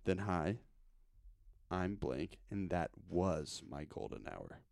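A young man hosting a podcast in a recording studio, relaxed and jokey, calling people bro. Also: low-pitched, takes it slowly, creaky, no room sound. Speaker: podcast host. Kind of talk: casual podcast interview.

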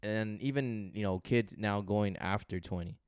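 A young man talks easily on a podcast, slangy and relaxed, dropping "you know" all through. His voice sits low and comes through clear and resonant. The sound is close and dry. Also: moderate pace, no room sound. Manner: laid-back, slangy, lots of "you know". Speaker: podcast co-host. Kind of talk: casual chat.

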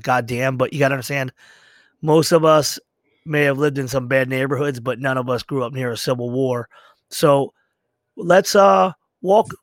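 A man's voice: medium pitch, no room sound, studio quality. A middle-aged man from the United States hosting a conversational interview, speaking in an earnest, emphatic and informal way. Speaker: podcast host.

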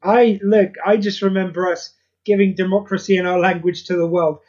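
A high-voiced man trading dry, sarcastic jabs in casual podcast chat. The sound is dull and lo-fi, like a remote call. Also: flat delivery, moderate pace, darkish tone. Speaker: podcast co-host.

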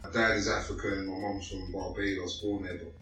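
A man's voice: deep voice, little pitch movement, fairly quick, fairly bright tone, far from the mic. Man with a london accent, laid-back slangy storytelling, sits back from the mic, faint music under it. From North West London, England. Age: late 30s. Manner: loose, slangy storytelling with many fillers. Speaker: guest. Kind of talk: casual chat. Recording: echoey room, away from the mic.

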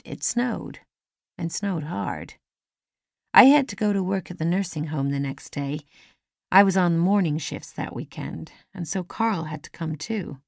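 A woman narrates an audiobook story in the first person. Her voice sits slightly low with a gravelly fry at the edges, recorded close and dry. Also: a little mellow, dry recording.

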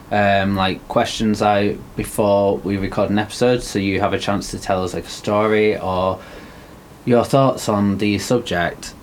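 A young man, co-hosting a podcast and talking slowly with many ums and likes. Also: lowish voice, a little hiss or hum. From Wigan, England. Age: young adult. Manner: slow, thoughtful, filler-heavy. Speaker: podcast co-host. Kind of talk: casual chat.

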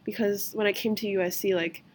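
A young woman in her twenties from the States, talking loosely on a casual podcast and dropping "like" into nearly every phrase. Her pitch sits in an ordinary middle range. A faint hiss of noise runs under the recording. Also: steady tone, fairly quick. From United States. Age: 20s. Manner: says "like" constantly, loose and chatty. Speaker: podcast host. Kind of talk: casual chat.

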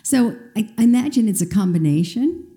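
A woman asking a question from the audience at a public forum, in a measured, articulate, conversational way. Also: mid-range voice, lively intonation, dark tone.

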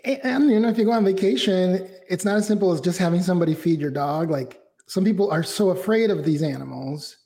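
High-voiced reflective man, interview guest. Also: fast, hardly any pauses, mellow. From Mexico. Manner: reflective, talks in long winding sentences with fillers. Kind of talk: casual interview.

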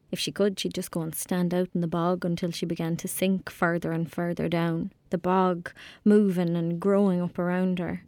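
A woman's voice: fairly low pitch, warm tone, no echo, lightly acted. Woman playing a lonely young Irish girl, dry close sound. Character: lonely, anxious young girl in rural Ireland, sullen and imaginative. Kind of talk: naturalistic audio drama acting.

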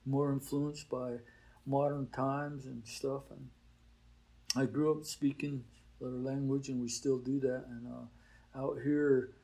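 An older man, a boarding-school teacher, talking in a relaxed, reflective way in an interview, with frequent "you know" fillers. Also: mid-range voice, slow, vocal fry, low-quality recording. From Oklahoma.

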